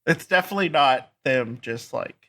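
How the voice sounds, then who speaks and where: Low-voiced laid-back woman podcaster. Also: expressive, normal speed, fairly bright tone. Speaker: podcast co-host. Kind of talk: casual chat.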